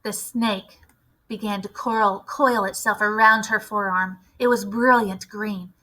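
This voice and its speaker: A woman reading a storybook aloud like a teacher, with expressive, deliberate phrasing. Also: mid-range voice.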